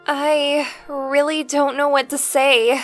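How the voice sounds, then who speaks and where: Lady doing sad gentle pony princess, high voice, slow n pleading, audio drama w faint music. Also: flat delivery. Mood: modest, overwhelmed. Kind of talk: fan audio drama voice acting.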